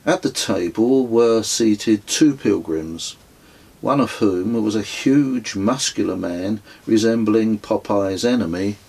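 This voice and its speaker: A man's voice: lowish voice, slow, creaky, darkish tone, breathy edge, low-quality recording. A middle-aged man reading his own travel memoir as an audiobook. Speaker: author reading own book.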